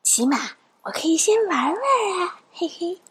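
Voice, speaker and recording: A woman voicing a playful, stubborn little princess in a children's audio drama, with cute, expressive childlike delivery. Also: very high-pitched, moderate pace, noisy background. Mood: stubborn, sly.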